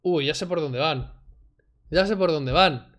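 A man's voice: a little high, unhurried. A man, commenting sarcastically on a video clip his home desk. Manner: sarcastic, animated, mocking. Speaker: reaction streamer. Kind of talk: casual commentary over a clip. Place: home room, indoors. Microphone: desk mic, wearing headphones.

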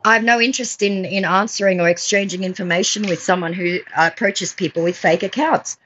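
A woman's voice: medium pitch, steady tone, brisk, a bit bright, dull audio, slight background noise. A middle-aged woman on a video call, sarcastic and mocking as she scolds the other person. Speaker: scambaiter. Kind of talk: confrontational phone call. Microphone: phone on a video call.

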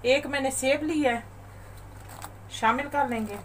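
A woman hosting a cooking channel, calmly talking through a recipe step by step in a voice-over. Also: high-pitched, unhurried, a little hiss or hum, low-quality recording. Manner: calm, methodical instructions. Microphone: voice-over over overhead shots of a bowl.

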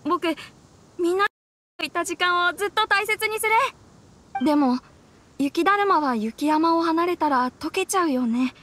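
Lady doing tiny anime game boy, super high bright voice, eager n childlike. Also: lively intonation, unhurried, long pauses, full character voice. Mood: grateful, wistful. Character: sweet, eager little boy attached to his father. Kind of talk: anime-style game dialogue.

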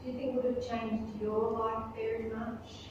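A woman's voice: on the high side, little pitch movement, unhurried, muffled recording, noisy background. A woman speech pathologist asking a question from the audience, hesitant and searching for words. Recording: echoey room, distant mic.

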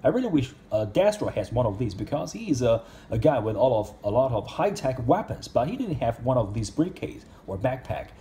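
A man's voice: mid-range voice, very animated, fairly quick, hardly any pauses, mellow, a little hiss or hum. A man reviewing an action figure in casual speech, with simple, non-native English phrasing. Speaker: toy reviewer.

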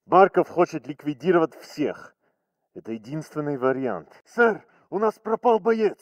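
An actor playing a cold, obedient Russian soldier reporting in a video game, with a Russian accent. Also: male voice, on the high side, sing-song, a little slow, no echo. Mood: cold, clipped. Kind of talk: video game military voice lines.

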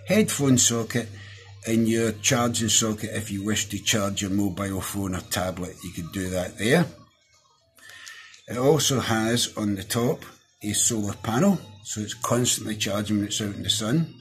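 A middle-aged man with a Scottish accent talks through a hands-on review in a casual, down-to-earth way. His voice sits on the low side. Soft music plays faintly behind him. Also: moderate pace. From Scotland. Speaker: product reviewer. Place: small indoor room at a desk.

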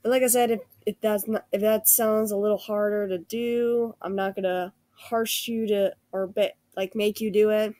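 A woman's voice: medium pitch, steady tone, normal speed, few pauses, warm tone, no room sound. Rambling young woman with a cold, dry sound. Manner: rambling, hesitant, many restarts and fillers. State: sick with a cold. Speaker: art critique video host. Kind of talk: casual art critique over screen recording.

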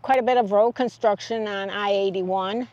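A woman's voice: medium pitch, fairly even intonation, normal speed, darkish tone, no echo, highs cut off. An older American woman casually recounting a trip as a travel vlog host, speaking outdoors in the open air.

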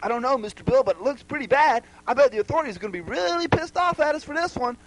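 A sly, fake-friendly puppet sidekick in homemade comedy. The pitch is very high and swoops widely in a sing-song apologetic way, recorded dry and close. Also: male voice, expressive, fast talker, no echo, low-quality recording. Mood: mildly concerned.

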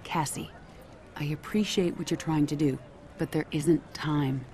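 Stern military general. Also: female voice, sits low, steady tone, unhurried, darkish tone, slight background noise. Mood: firm, urgent. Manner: clipped, commanding, firm. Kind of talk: dramatic video game cutscene acting.

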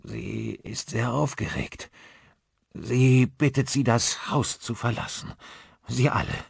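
Guy doing an old eccentric psychic man from a thriller audiobook, raspy, intense, halting, dry close sound. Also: medium pitch, no echo, voice pushed up, heavily acted. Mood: grave warning. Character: aging eccentric rocker medium, solemn and agitated.

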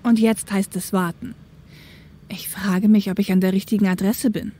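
A woman's voice: mid-range voice, level tone, normal speed, dark tone, breathy edge, lightly acted. A woman playing a streetwise young woman driver, wry and dreamy, in a German audio drama. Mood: matter-of-fact.

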